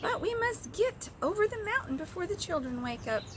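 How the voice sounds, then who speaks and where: A woman's voice: extremely high pitch, very animated, fairly quick, heavy background noise, pitched above the performer's own voice. A woman voicing small dolls and toys in a storybook, pleading earnestly for help.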